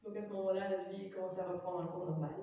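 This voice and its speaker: A young woman from Belgium with a Belgian French accent, giving a halting personal testimony in a chapel, pausing often with fillers. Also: mid-range voice, fairly even intonation, dark tone, a little hiss or hum. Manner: hesitant, many fillers. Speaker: testimony giver. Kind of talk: personal testimony in church, interpreted. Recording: echoey chapel from far off.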